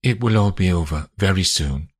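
A man voicing a guarded, evasive husband who talks quietly and calmly. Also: sits low, normal speed, breathy edge, no room sound, clean studio sound. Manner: quiet and calm.

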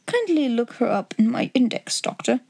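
A woman's voice: on the high side, very animated, moderate pace, gravelly, mellow, breathy edge, exaggerated voice. A woman voicing a languid, incisive male detective in an audiobook story, with a dry, clipped, self-assured manner.

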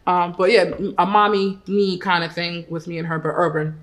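A woman's voice: lowish voice, steady tone, normal speed. A woman who is a rapper and a mother, answering interview questions in an upbeat, chatty way with many fillers. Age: adult mother.

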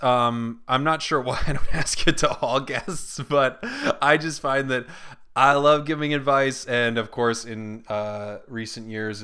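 A man's voice: medium pitch, bright, forceful tone, smooth. A young man hosting a comedy podcast, joking playfully and reading a post aloud. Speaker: podcast host. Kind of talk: casual comedic podcast chat.

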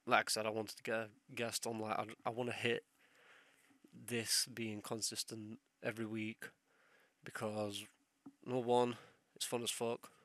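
Young male podcast host, ill with a sore throat, casual sweary ramble, low and monotone. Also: unhurried, dry recording. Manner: rambling, sweary, loses train of thought. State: sick, sore throat, sniffly.

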